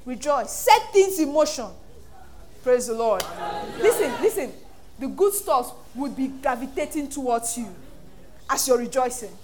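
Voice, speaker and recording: A Nigerian woman pastor preaching an animated sermon, acting out voices and laughing often. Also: high voice, measured pace.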